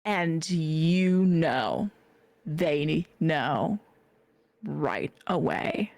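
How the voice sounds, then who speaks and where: Woman GM narrating a tabletop game, very slow and deliberate, dry close mic. Many fillers. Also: low-pitched, animated, vocal fry, darkish tone, dry recording. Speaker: game master.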